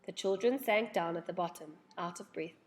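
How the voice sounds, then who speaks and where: A woman reading a children's storybook aloud in an expressive, dramatic storytelling manner. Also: fairly low pitch, normal speed.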